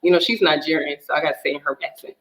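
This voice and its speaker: A woman's voice: low voice, steady tone, very fast, a bit bright. Earnest woman, echoey room. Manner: earnest, emotional storytelling. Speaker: guest, divorce coach. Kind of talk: personal testimony in a talk show.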